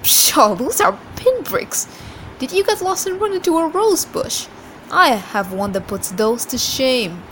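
A woman's voice: high-pitched, very animated, unhurried, fairly bright tone, slight background noise, voice pushed up, exaggerated voice, poor audio. A woman voicing a boastful, competitive young male warrior in a storybook reading. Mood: boastful, teasing. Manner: mock-proud, competitive.

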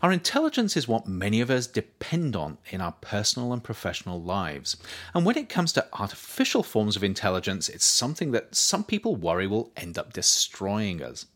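Low-pitched man, animated narration. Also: a little fast. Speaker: author reading own book as audiobook podcast. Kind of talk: scripted narration.

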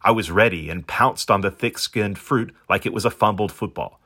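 A man's voice: lowish voice, normal speed, bright, forceful tone. A man reading as a pampered, proud, hungry stranded dog, narrating in a wordy, wry, self-important manner. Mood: exasperated. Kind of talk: first-person storybook narration in character.

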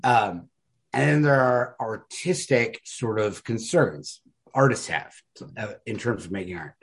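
A man's voice: lowish voice, measured pace, husky. A man co-hosting a podcast, talking casually and earnestly about stories in informal, slangy language with plenty of emphasis. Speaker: podcast co-host. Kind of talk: casual literary discussion.

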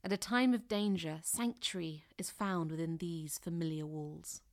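An actress playing a storyteller who narrates an uneasy scene in a deliberate, expressive way. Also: female voice, fairly low pitch, close to an everyday voice. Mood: measured suspense. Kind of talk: scripted dramatic narration.

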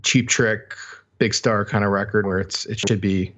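Lowish-voiced man co-hosting a music podcast. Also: fairly even intonation, normal speed, fairly bright tone. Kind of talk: casual album review chat.

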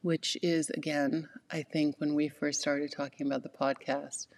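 A woman's voice: low-pitched, little pitch movement, normal speed, darkish tone. A woman co-hosting a podcast in casual, chatty conversation, lying on the floor of a yoga studio. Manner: chatty, laughs often, fillers. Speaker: podcast co-host.

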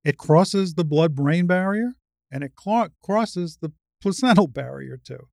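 Woman, 60s, low-set and creaky voice, dry close recording, emphatic explainer in a conversational interview. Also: expressive, measured pace, warm tone, no room sound. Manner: emphatic, didactic, explains step by step. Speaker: interview guest, retired surgeon and advocate.

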